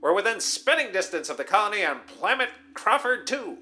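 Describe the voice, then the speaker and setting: An actor playing a pompous, bumbling starship captain in a sci-fi parody audio drama, declaiming grandly. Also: male voice, sits high, very animated, fairly quick, sharp tone, reverberant, soft background music, heavily acted. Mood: self-important, calling.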